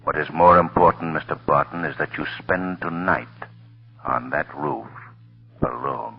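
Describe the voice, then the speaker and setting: A very deep, mysterious unseen crime fighter. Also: male voice, unhurried, vocal fry, husky, exaggerated voice. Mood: commanding, urgent. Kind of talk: 1930s radio drama acting. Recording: muffled old radio recording.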